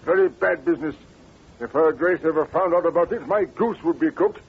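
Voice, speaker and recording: An actor playing an anxious, secretive middle-aged English duke, stammering and repeating himself in old radio drama style. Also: male voice, fairly high pitch, fairly quick, vocal fry, slightly rough, exaggerated voice. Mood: sheepish worry. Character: flustered aristocratic duke of about fifty-five, anxious, secretive. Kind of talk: 1940s radio acting. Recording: tinny, noisy old radio recording.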